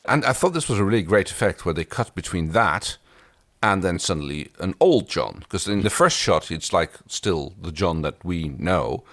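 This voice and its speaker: Deep-voiced, animated man recapping TV. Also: normal speed, no echo, clean studio sound. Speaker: podcast co-host. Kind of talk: casual TV episode recap chat.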